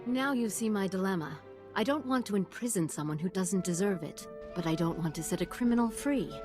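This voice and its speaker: A female sci-fi army officer an actress, formal, clipped and businesslike, in a middle register. Music plays clearly behind her. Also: mid-range voice, expressive, fairly quick, lightly acted. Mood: formal, firm. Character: formal, fair-minded female army colonel. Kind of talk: video game dialogue.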